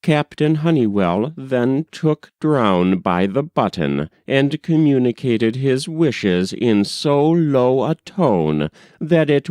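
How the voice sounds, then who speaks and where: Male audiobook reader, slow and measured, lively intonation, close dry sound. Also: mid-range voice, no echo. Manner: measured, expressive reading. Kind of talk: literary story narration.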